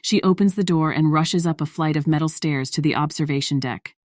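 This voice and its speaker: Low-pitched woman narrating a story. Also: fairly quick, a little mellow, no room sound, studio quality.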